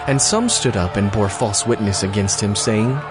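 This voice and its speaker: A man's voice: on the low side, normal speed. A man giving solemn, scripted narration of scripture. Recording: loud dramatic music underneath.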